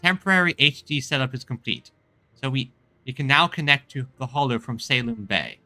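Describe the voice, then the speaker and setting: A man's voice: mid-range voice, fairly quick, pushed and bright, no echo, soft background music. A man streaming a game and reading dialogue aloud, often stumbling and repeating phrases.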